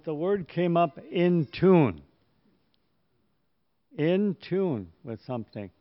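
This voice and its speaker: A man slowly and thoughtfully sharing an experience with a church group. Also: on the high side, lo-fi sound. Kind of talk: casual personal sharing.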